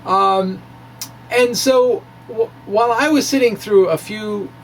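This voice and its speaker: A man giving an informal, self-deprecating technical ramble in a hesitant way, with animated asides. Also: high-pitched, takes it slowly, a little hiss or hum, poor audio. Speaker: physics blogger presenting his own work. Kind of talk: informal technical ramble, reading of own blog.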